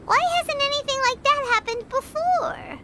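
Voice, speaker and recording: A woman voicing a tiny, cheeky, excitable girl companion with a squeaky childlike voice in a video game. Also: normal speed, very noisy. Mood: startled, indignant. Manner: speaks of self in third person, exclaiming.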